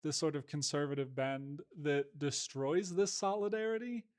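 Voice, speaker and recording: A man in a casual conversation, reflective and measured, hedging often with "like". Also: fairly high pitch, darkish tone.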